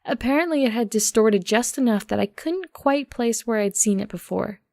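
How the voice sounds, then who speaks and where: A woman's voice: a little high, animated, normal speed, vocal fry, a little mellow, no room sound, clean studio sound. A woman reading a first-person story aloud as an audiobook narrator.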